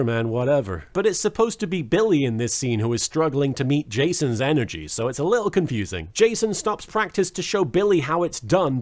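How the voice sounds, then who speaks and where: A man narrating a comedic scripted episode recap as a video host, full of wry, sarcastic asides. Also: on the high side.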